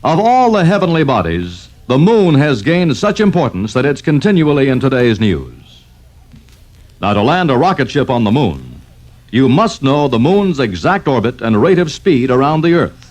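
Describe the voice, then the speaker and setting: A man's voice: mid-range voice, sing-song, normal speed, a little mellow. A man reading scripted educational narration as a 1950s radio announcer, with formal, emphatic storytelling.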